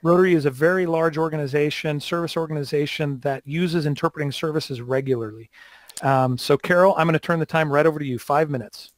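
A man's voice: a little high, a little fast, no room sound. A man in his sixties moderating an online round table, speaking into a headset on a video call.

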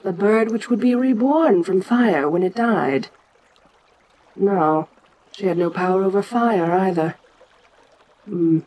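An ancient giantess monster queen played by a woman in an audio roleplay. She speaks slowly and deliberately at a middle pitch, stately and domineering. Her pride comes with curiosity about the small human she addresses. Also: warm tone, low-quality recording. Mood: curious, commanding. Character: ancient giant female kaiju queen, proud, imperious, curious.

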